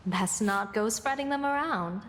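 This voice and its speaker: An actress voicing poised young women in a fantasy game cutscene, formal and teasing. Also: female voice, sits high, exaggerated voice. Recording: reverberant game audio with loud music. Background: game soundtrack.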